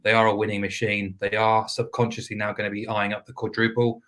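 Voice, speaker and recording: A man talking through football bets on a casual podcast, a little hoarse and hesitant, with plenty of fillers. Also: lowish voice. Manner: measured, hesitant with fillers, flat delivery. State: losing his voice. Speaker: football betting pundit.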